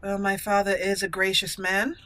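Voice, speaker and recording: A woman's voice: mid-range voice, completely flat delivery, moderate pace, sharp tone, natural voice. A woman role-playing a haughty young elf noblewoman in a formal, imperious manner for a fantasy game.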